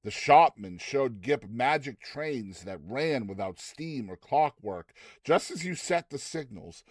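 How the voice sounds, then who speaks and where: A man giving a dramatized audiobook narration, theatrical and expressive, with deliberate phrasing. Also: medium pitch, breathy edge, no echo, clean studio sound. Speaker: narrator of short story.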